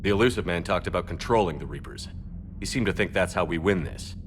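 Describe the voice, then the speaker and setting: An actor playing a resolute human military commander in a video game, speaking in a firm, measured way. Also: male voice, deep voice, lively intonation, noisy background. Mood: businesslike. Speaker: player character military commander.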